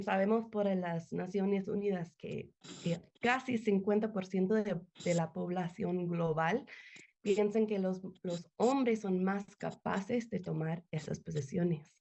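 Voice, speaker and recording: A woman from the United States who speaks English as her first language, answering interview questions carefully and pausing often to search for words. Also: mid-range voice, warm tone, dull audio. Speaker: interview guest, nonprofit director. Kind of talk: interview answers over a call. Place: home. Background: children playing.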